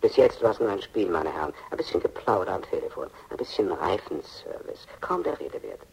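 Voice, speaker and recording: A man's voice: low voice, sing-song, brisk, vocal fry, darkish tone, slightly rough, full character voice, rough recording. An actor playing a menacing extortionist in a radio crime drama, mocking his victims with savoured mock-politeness. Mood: mocking menace. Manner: sardonic mock-politeness, savouring each threat.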